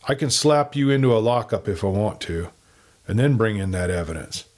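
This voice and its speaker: A man voicing a shrewd, hard, steady lawman in a western story, with a blunt, commanding manner. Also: lowish voice, a little fast, lightly acted.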